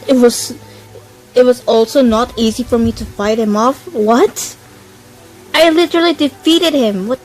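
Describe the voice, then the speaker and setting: A woman's voice: fairly high pitch, a little slow, poor audio. A woman doing casual, informal gameplay commentary, reacting in short remarks. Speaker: gaming video creator. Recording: voice-over with game music behind.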